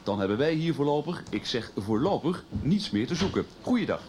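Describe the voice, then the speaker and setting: An actor playing a brisk, insistent police investigator in a radio drama, speaking formally. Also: male voice, a little high, big pitch swings, darkish tone, a little hiss or hum. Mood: insistent, veiled threat. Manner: formal, officious. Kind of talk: 1990s Dutch radio drama acting.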